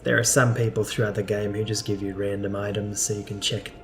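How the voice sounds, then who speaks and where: A man's voice: on the low side, flat delivery, fairly quick. A man giving casual gameplay commentary as a let's play host, informal and a little hesitant. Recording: game music behind.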